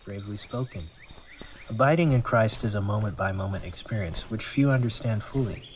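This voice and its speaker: A man's voice: lowish voice, a little hiss or hum, natural voice, poor audio. A man playing a patient, pious mentor who speaks formally and instructively in an amateur audio drama. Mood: solemn, instructive. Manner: measured, formal, preachy. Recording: clear music bed under dialogue.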